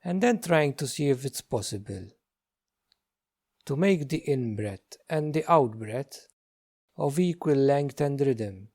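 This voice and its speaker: A man leading a guided meditation as a teacher, speaking gently in short, unhurried phrases with many pauses. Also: medium pitch, lively intonation.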